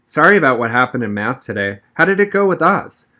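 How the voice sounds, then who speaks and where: A man's voice: medium pitch, very animated, brisk, bright, forceful tone. Man voicing an eager, earnest schoolgirl. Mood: tentative, sympathetic. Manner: eager, sincere. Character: eager, earnest gifted-class schoolgirl, friendly and sincere.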